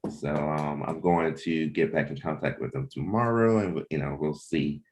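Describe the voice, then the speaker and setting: A man's voice: extremely low pitch, moderate pace, darkish tone. A man hosting a casual livestreamed team chat, speaking informally and a little hesitantly as he thinks aloud. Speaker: host and comic creator leading a cast meeting.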